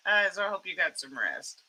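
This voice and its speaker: A woman hosting a casual live stream, a little hoarse from losing her voice, rambling and laughing often as she greets her chat. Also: fairly low pitch. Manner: excitable, reading chat names aloud.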